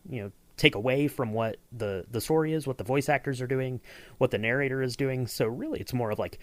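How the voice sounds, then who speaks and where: A man's voice: mid-range voice, a little fast, no echo. A man co-hosting a podcast, chatting casually and rambling with lots of fillers and restarts. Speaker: podcast co-host. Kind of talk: casual behind-the-scenes chat.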